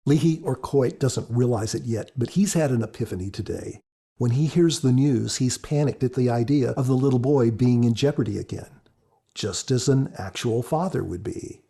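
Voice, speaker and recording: A man with a husky voice, an author giving earnest, measured, reflective commentary on a story. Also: medium pitch, warm tone. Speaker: author and podcast guest commentator.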